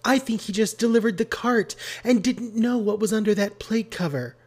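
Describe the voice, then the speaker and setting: A man voicing an earnest, gentle woman with a soft, grave manner, as an audiobook character. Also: sits high, normal speed, voice pushed up. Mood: soft, pained. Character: earnest, gentle, sad woman amateur detective.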